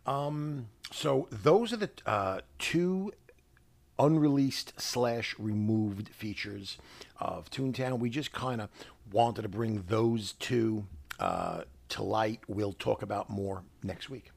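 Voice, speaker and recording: A man with a New York accent co-hosts a casual podcast. His voice is husky and fairly low, with a heavy creak to it. He explains things in a halting, measured way. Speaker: podcast co-host.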